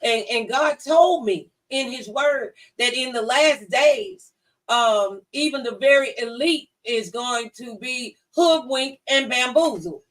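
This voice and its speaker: A woman with a high voice hosts an opinion talk show, sounding a little off the mic. Her delivery is conversational and folksy, with emphatic repeated phrases. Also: lively intonation, takes it slowly, recorded from a distance, rough recording.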